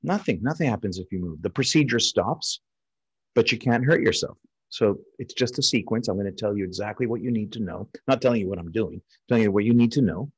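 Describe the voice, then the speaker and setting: An American man, middle-aged, lecturing as a surgeon in an informal, explanatory style with a slightly husky voice. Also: fairly low pitch, fairly quick. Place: indoors, on a video call over slides.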